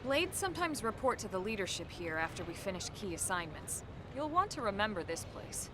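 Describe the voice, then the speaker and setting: An actress playing a composed, level-headed female soldier and mentor in a video game, measured, matter-of-fact and gently reproving. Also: mid-range voice, sing-song, noisy background, close to an everyday voice. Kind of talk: video game cutscene acting.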